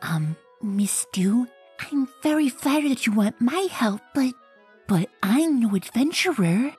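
Woman doing shy young mare from a cartoon comic, breathy and hushed, high and lively, faint music behind. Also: measured pace, exaggerated voice. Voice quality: soft, breathy, whispery. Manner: hesitant, shy, nervous. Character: timid gentle young female pony. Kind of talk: comic dub reading.

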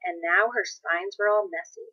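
A woman reading a children's story aloud as expressive scripted narration. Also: medium pitch, normal speed, husky, no room sound, dull audio. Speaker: storybook reader.

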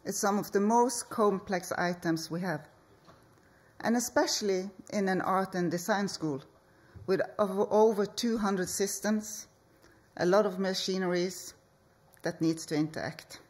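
Slow, measured woman presenting. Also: medium pitch, a little mellow. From Norway. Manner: slow, measured delivery with deliberate pauses. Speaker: architect and project leader. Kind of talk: conference presentation. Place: conference hall stage.

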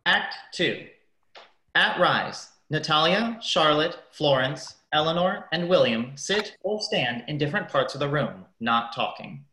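A woman's voice: sits low, animated, unhurried, sharp tone, roomy sound, full character voice, poor audio. A woman narrating a radio play, reading out scripted stage directions.

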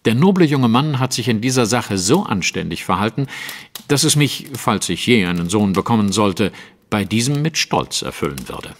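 An actor playing a composed, commanding Victorian detective in a German audio drama, speaking precisely and with theatrical authority. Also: male voice, lowish voice, very animated, moderate pace, big-room sound. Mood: stern. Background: music.

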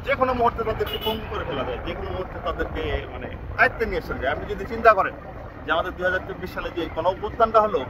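A man in his thirties speaks quickly into a phone camera outdoors, thinking aloud in a rambling way and restarting his sentences. His voice sits high for a man, and clear traffic noise runs under the whole recording. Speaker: vlogger. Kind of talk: casual reflective monologue. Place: outdoors on a village road. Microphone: phone selfie camera at arm's length.

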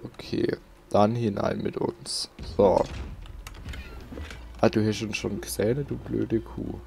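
A man's voice: on the low side, level tone, slow, creaky, a little mellow, slight background noise. A man giving casual gameplay commentary as a let's play host, muttering to himself.

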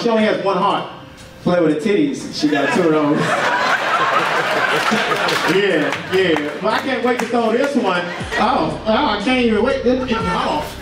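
A young man performing a stand-up comedy set on a club stage into a handheld mic, punchy and animated, shouting some lines. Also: sits high, very noisy. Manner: loud, animated, punchy delivery with shouted bits. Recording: echoey club heard from far off. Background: crowd laughing.

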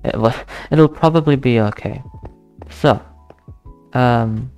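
A young man plays a game at his desk and talks through it slowly, pausing often and counting out loud. His voice is mid-pitched with a gravelly, creaky texture. Soft background music plays faintly underneath. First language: not English. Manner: hesitant, thinking aloud, counting under his breath, nervous laughs, easily spooked. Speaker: gaming channel host. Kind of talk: casual let's play commentary.

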